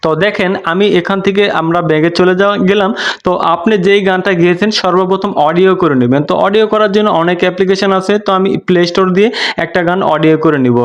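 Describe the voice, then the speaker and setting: A man's voice: high-pitched, quick pace, highs cut off. A man narrating a step-by-step app tutorial in a repetitive, instructional way. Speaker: tutorial host.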